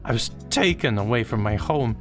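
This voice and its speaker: A jittery little ghost with a high, squeaky voice, stammering and fretting as his pitch jumps all over the place. Faint music plays beneath him in this storybook reading. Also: big pitch swings, measured pace. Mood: wistful. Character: panicky timid little male ghost.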